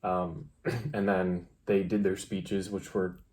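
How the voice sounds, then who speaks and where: Young guy vlogging, really low voice, monotone delivery, laid back casual storytime. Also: slow pace. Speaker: vlog co-host.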